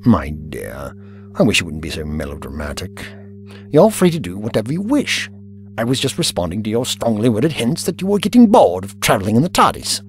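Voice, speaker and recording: A man's voice: on the low side, sing-song, fairly quick, soft background music. A man playing an aloof, vain time-travelling inventor in an audio drama, speaking in a formal, pompous, dismissive way. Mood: brusque, dismissive.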